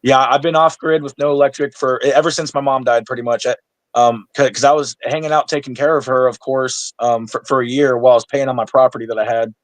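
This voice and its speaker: A man's voice: mid-range voice, steady tone, quick pace, no room sound. A man of thirty-nine, a podcast guest in casual chat, blunt and swearing freely, stumbling over restarts. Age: 30s. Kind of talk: casual conversation.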